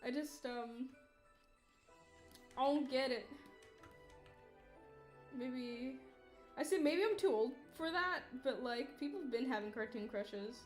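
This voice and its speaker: A woman's voice: sits high, expressive, slow pace, long pauses, roomy sound, faint music behind. Tired young woman rambling on stream. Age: 20s. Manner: rambling, swears casually, self-mocking jokes. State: tired, yawning. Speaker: artist livestreamer. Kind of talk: casual chat while drawing.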